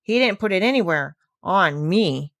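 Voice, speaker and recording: A woman's voice: lowish voice, expressive, moderate pace, fairly bright tone, no room sound, studio quality. A woman voicing a grumbling, plain-spoken old male plow horse in a storybook reading, with an indignant, matter-of-fact way of complaining.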